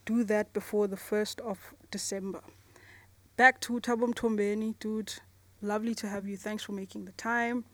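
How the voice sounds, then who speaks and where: A South African woman hosting a podcast, speaking in a casual, chummy way with relaxed slang. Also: medium pitch, moderate pace, dry recording. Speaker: podcast host. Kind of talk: casual podcast introduction and interview.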